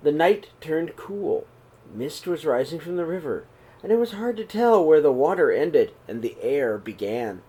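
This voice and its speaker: A middle-aged man reading a children's picture book aloud in a small room, slow and expressive. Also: fairly high pitch, husky, poor audio. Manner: reads slowly and expressively, voices the characters' calls. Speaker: storybook reader. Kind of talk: read-aloud storytelling for children.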